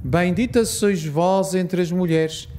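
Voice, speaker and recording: A man with a European Portuguese accent leading a rosary, reciting solemn prayers in a measured, reverent way. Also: high-pitched, a little mellow, a little hiss or hum.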